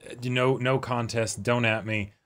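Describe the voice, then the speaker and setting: A man's voice: mid-range voice, fairly even intonation, unhurried, fairly bright tone, slight background noise. A man co-hosting a reaction show in casual, conversational chat.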